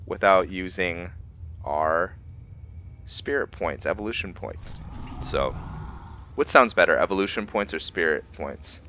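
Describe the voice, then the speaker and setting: A man giving casual commentary on his game playthrough, thinking aloud in a halting way. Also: low-pitched, sharp tone, muffled recording, a little hiss or hum. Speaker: gaming video host.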